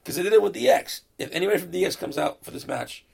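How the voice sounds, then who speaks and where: A slightly high-pitched man with a gravelly, fraying voice talks bluntly in a casual chat, swearing freely. He is losing his voice as he goes. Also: very fast, darkish tone. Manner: blunt, swears often, fan enthusiasm.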